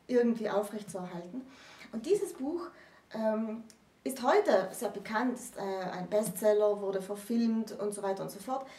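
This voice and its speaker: A woman in her thirties giving an unscripted literary explanation, deliberate and halting, with pauses mid-sentence. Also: mid-range voice, warm tone. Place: small book-lined room indoors. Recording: roomy, distant microphone.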